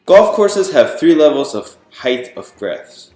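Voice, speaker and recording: A man reading a picture book aloud to an audience in a clear explanatory, presentational way, putting questions to the listeners. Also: fairly high pitch, very animated, slow, darkish tone, echoey room, faint music behind. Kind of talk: storytime read-aloud to an audience.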